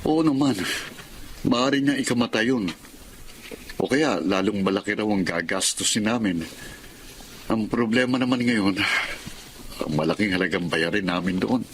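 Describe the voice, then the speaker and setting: Man acting a worried low-voiced working-class father. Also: normal speed, pauses often, close to an everyday voice. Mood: troubled, pleading. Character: worried working-class father, a jeepney driver, humble and anxious. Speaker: radio drama actor. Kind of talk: Tagalog radio drama acting. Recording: clear background noise.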